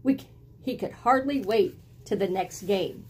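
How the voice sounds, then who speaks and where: Slow, mellow middle-aged woman reading to children. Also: mid-range voice, husky. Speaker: storyteller reading a picture book aloud. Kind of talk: expressive children's read-aloud. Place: small indoor room at home with bookshelves.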